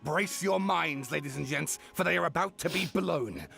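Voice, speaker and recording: An eager writer spins his tale with grand flourishes for a video game. His voice rides high and swings widely in pitch. Soft game music hums underneath. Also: expressive, normal speed, vocal fry, exaggerated voice. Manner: dramatic, showmanlike. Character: eager, theatrical male writer. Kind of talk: game voice acting.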